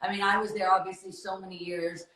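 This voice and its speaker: A woman's voice: medium pitch, little pitch movement, fast, bright, forceful tone. A young woman chatting on a phone livestream, asking loose, rambling questions. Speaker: guest interviewer on a livestream. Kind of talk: casual interview chat. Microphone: phone on a video livestream. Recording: echoey room over a phone.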